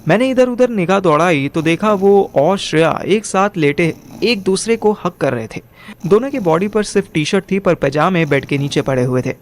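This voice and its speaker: A man's voice: sits high, animated, normal speed. A young man narrating a first-person audio drama in colloquial Hindi mixed with English words. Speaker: narrator and lead character.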